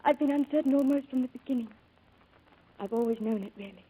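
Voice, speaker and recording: A woman playing a tender, perceptive young bride-to-be, gentle and earnest, in 1940s radio drama style. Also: high voice, fast, breathy edge. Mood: tender, wounded. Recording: muffled old radio recording, music behind.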